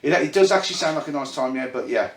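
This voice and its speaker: An Irish-born man with a Birmingham accent talks through a tabletop game setup, pausing often with ums. His voice sits in a middling register. The recording is an echoey room captured on a phone. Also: medium pitch, expressive. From Ireland. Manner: chatty, halting with fillers, self-deprecating. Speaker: host and game runner. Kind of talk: casual rules explanation. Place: indoor room at a gaming table.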